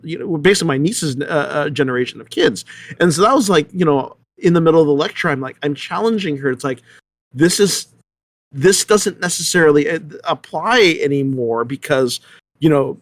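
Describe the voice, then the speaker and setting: Middle aged guy chatting on a panel, kinda high voice, rambles and repeats himself, really dry sound. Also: no echo. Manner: rambling, halting, repeats words. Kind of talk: casual panel discussion.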